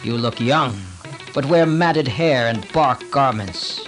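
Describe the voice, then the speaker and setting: A man's voice: mid-range voice, slow pace, fairly bright tone, soft background music. A man voicing a huge, bloodthirsty male demon who taunts his foes in a menacing way. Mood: taunting, menacing.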